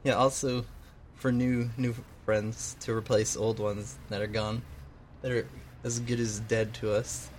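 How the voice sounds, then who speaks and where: A man's voice: lowish voice, little pitch movement, a little slow, a little hiss or hum. A man co-hosting a homemade radio show, chatting casually in a joking, rambling and self-deprecating way. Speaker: co-host. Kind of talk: casual chat.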